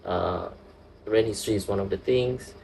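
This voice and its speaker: This is a young man with a Singaporean accent, talking as a guest about his nursing work. His voice sits very low and mellow, with a gravelly fry, and he speaks in an earnest, halting way full of restarts. A faint hiss of noise sits under the recording. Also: poor audio. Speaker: guest, nurse. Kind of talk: casual interview.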